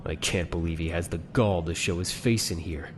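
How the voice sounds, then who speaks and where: A man's voice: low-pitched, moderate pace, noisy background, lightly acted. A man playing a bitter, confrontational young cadet in an anime parody drama. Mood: angry.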